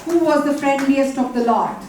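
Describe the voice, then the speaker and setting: A woman's voice: sits high, normal speed. A woman co-presenting a live event, prompting the audience with questions. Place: large echoey indoor museum space. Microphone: distant camera or room microphone. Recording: distant mic, echoey hall, very noisy room. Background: loud room ambience.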